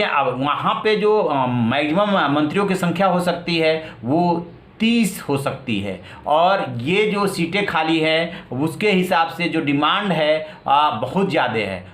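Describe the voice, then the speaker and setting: A male news anchor with a slightly high voice, recorded in a clearly echoey space. He explains political developments conversationally, posing rhetorical questions as he goes. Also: expressive, measured pace, a bit bright. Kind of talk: news commentary, explaining politics in a semi-scripted way. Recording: roomy, echoing voice.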